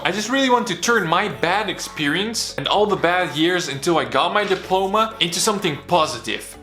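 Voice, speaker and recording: A man's voice: high voice, bright, forceful tone. A young man raised in the Netherlands with Bosnian as his first language, earnestly telling his own story in a candid, casual vlog. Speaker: vlogger. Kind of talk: personal motivational story. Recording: roomy echo.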